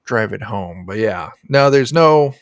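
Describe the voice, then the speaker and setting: A man's voice: fairly low pitch, lively intonation, unhurried, no room sound, clean studio sound. A middle-aged man talking casually as a guest game designer in an interview over a video call. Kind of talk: casual interview chat about a tabletop game. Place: indoor room on a video call.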